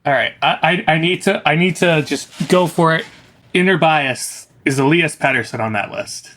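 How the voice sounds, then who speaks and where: A man's voice: a little high, normal speed, a bit bright. A man chatting casually about hockey as a podcast co-host playing a guessing game. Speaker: podcast co-host and quiz contestant. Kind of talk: casual sports chat.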